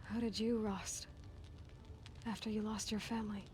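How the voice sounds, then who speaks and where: A woman's voice: medium pitch, little pitch movement, moderate pace, lightly acted. An actress playing a brave young woman warrior in a video game, brooding and resolute. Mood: troubled, lonely. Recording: loud game music underneath. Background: music.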